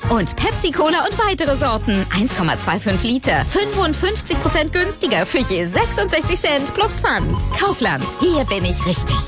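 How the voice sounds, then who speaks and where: High, bouncy female ad voice. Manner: bright, salesy. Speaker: advertising voice. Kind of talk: radio commercial.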